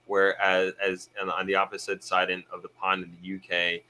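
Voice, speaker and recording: A deep-voiced young man from Jamaica hosts a casual live stream and responds to viewer comments. His tone is bright and forward, and he stops and restarts his sentences often as he works through his thoughts. A faint hum sits behind him. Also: monotone, normal speed. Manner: hesitant, many restarts, thinking aloud.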